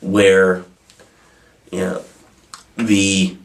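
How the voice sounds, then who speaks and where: Male vlog host, low-pitched voice, unscripted and informal, meandering and modest about himself. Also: completely flat delivery, drawn-out words. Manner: informal, rambling, self-deprecating. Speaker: host of a pagan question-and-answer video channel. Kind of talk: casual, unscripted answering of viewer questions.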